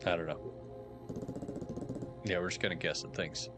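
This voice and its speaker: A slightly hoarse man with a very low voice chats casually while streaming a game. He thinks aloud and drops wry asides, with background music running behind him. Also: steady tone, normal speed. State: a little hoarse. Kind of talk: casual live-stream commentary.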